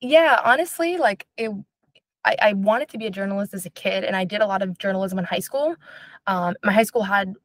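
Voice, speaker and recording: Fast-talking young woman, chatty. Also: medium pitch, no echo. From Israel. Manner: fast, chatty, lots of fillers like "um" and "like". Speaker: basketball reporter. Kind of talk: podcast interview answers.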